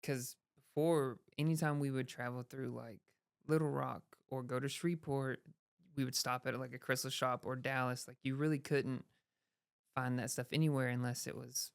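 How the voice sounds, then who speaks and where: Woman host, smooth clear voice, chatty, lots of "like", dry close mic. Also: rumbling low, level tone, moderate pace, dry recording. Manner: chatty, says yeah and like a lot. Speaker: podcast host. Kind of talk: casual interview chat.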